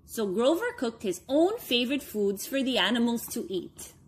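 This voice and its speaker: A woman in her thirties reading a children's storybook aloud, expressively and with deliberate phrasing for young listeners. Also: fairly high pitch, sing-song, a little hiss or hum. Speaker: story time reader for children.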